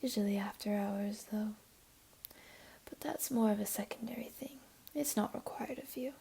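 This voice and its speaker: A woman voicing a flirty, teasing, comforting jaguar beastling in an intimate ASMR roleplay, speaking softly and coaxingly in a half-whisper. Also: mid-range voice, moderate pace, gravelly, husky. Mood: patient, inviting.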